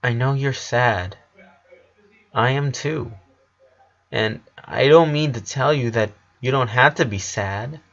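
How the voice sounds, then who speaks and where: A man voicing a calm, gentle eleven-year-old boy who speaks softly and soothingly while hiding his grief. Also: medium pitch, slow. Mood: gentle, sad. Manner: serene, soft, soothing. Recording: dull lo-fi recording.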